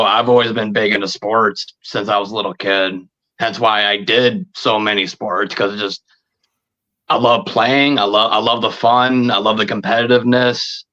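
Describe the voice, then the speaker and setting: A man in his thirties chatting casually as a podcast guest, halting and often repeating his words and phrases. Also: fairly low pitch, pushed and bright, muffled recording. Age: 30s. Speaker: podcast guest, video creator. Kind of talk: casual interview chat.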